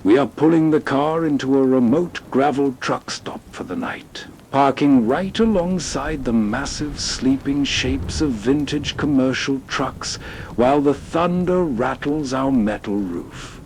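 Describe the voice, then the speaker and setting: A man hosting a nostalgic radio program, reading atmospheric scripted narration in a deliberate, evocative storytelling way. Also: mid-range voice, lively intonation, darkish tone, a little hiss or hum.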